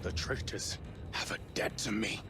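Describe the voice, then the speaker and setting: A man's voice: moderate pace, over music, close to an everyday voice. A man playing a vengeful, hot-headed space marine in a video game, intense and brooding, with a cinematic soundtrack behind him. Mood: bitter, defiant. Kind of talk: dramatic video game acting.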